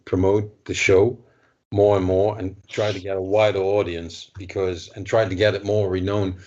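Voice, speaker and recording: A man's voice: low voice, level tone, normal speed, darkish tone. A man from the Netherlands, a model show organizer, talking in a casual podcast chat, hesitant with many restarts. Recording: dull lo-fi remote audio.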